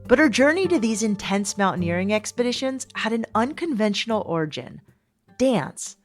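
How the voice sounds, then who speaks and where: A woman's voice: medium pitch, sing-song, moderate pace, faint music behind. A woman hosting a podcast, moving between scripted narration and interview questions. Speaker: podcast host.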